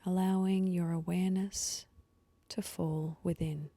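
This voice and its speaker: A woman leading a guided meditation with slow, soothing instructions and pauses, her voice soft and at times breathy. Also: lowish voice, flat delivery, no room sound.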